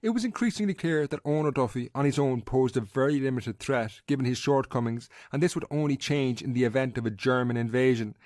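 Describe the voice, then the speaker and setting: A man's voice: medium pitch, fairly quick, few pauses, darkish tone, dry recording, clean studio sound. A man with an Irish accent narrating a scripted history podcast as its host.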